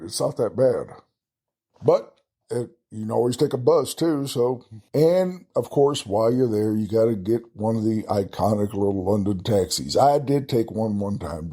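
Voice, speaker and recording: Texan guy chatting travel tips, folksy and easygoing, kinda husky. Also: medium pitch, mellow. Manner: folksy, chatty, self-deprecating asides. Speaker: travel podcast host. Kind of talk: casual solo travel talk.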